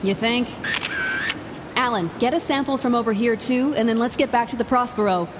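A woman playing a brisk, commanding sub captain. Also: fairly high pitch, noisy background. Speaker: lead character, submarine captain. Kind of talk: audio drama acting.

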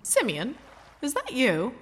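Lively, feisty radio-serial girl Friday. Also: high voice, takes it slowly, slightly rough, exaggerated voice. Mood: annoyed, suspicious. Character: feisty, exasperated girl Friday to a playboy hero. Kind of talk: 1940s radio serial acting.

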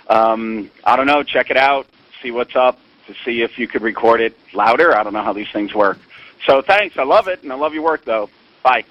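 A man's voice: medium pitch, a bit bright, dry recording. A man calling in, leaving a casual spoken message on a voicemail. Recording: dull lo-fi voicemail phone recording.

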